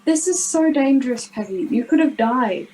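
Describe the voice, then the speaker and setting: A young girl plays a worried, protective school friend in a children's radio play. Her child's voice is high but mellow in tone. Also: lively intonation, moderate pace. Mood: concerned.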